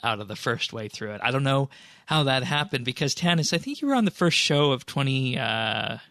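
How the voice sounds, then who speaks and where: A man's voice: medium pitch, sing-song, fairly quick, a bit bright, no room sound, studio quality. A man hosting a podcast, casually going through game patch notes in an explanatory, conversational way. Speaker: podcast host.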